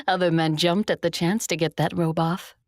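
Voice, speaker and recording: Low, haughty vampire commander. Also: female voice, big pitch swings, measured pace, no room sound, studio quality. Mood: teasing. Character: ancient female vampire commander, proud, stern, coolly mocking. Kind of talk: audio drama dialogue.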